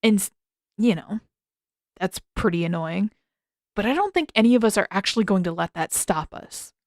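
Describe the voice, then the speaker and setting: A woman's voice: mid-range voice, expressive, moderate pace, darkish tone, dry recording, lightly acted. A woman narrator acts out a sarcastic teenage boy from an adventure story. He talks casually and with exasperated emphasis, blunt but protective underneath. The sound is very dry, with no room around it. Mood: grudging, annoyed.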